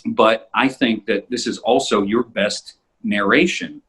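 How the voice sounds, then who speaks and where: A man in a casual, halting discussion, speaking appreciatively as co-creator of an audio drama podcast. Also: fairly low pitch, fairly bright tone, breathy edge. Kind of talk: casual discussion of their own work.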